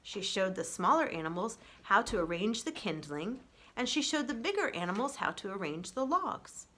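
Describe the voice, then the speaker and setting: A woman reading a children's story aloud in an expressive storybook narration style, as a librarian would. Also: medium pitch, moderate pace.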